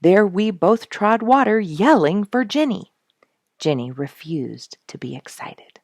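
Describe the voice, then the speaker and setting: A woman narrating a storybook chapter aloud with expressive, dramatic emphasis. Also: mid-range voice, unhurried, dry recording, studio quality.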